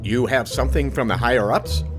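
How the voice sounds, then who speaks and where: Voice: medium pitch, a little fast, loud music behind the voice, lightly acted. A performer of unclear sex playing an indignant, contemptuous, pedantic editor in a comic audio drama, emphatic in delivery. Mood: suspicious. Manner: scornful, emphatic.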